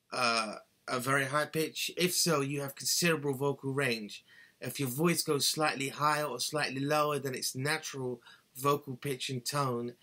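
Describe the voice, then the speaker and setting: A man's voice: medium pitch, bright, forceful tone. A man in his thirties giving an earnest, instructive singing lesson, talking to a webcam in a small cluttered bedroom. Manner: earnest, instructive, gestures with both hands. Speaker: singing tutor. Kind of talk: scripted how-to talk to camera.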